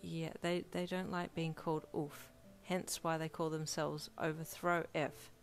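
A woman voicing a gentle, shy young male swordsman with a soft, hesitant way of talking, in a reader-insert story. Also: deep voice, level tone, unhurried, faint music behind.

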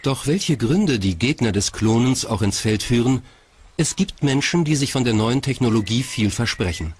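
A man's voice: medium pitch, expressive, moderate pace. Male documentary narrator over background noise. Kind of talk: scripted narration.

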